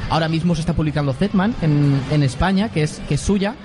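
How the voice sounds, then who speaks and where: A man with a Spanish accent from Spain, hosting a casual manga chat with halting explanations. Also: fairly high pitch, noisy background. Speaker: podcast host. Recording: music playing behind the voice. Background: clear background music.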